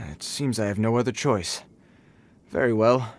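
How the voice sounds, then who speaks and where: An actor playing an earnest, well-meaning but awkward guard on patrol, formal and hesitant, as a video game character. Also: male voice, on the low side, slow, slight background noise. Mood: flustered, resigned.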